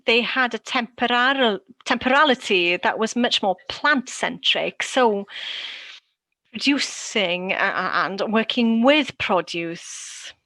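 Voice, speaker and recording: A woman from Wales giving a prepared conference talk as a panelist, measured and deliberate, pausing often. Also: a little high, lively intonation, sharp tone, no echo. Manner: measured and deliberate, with frequent pauses.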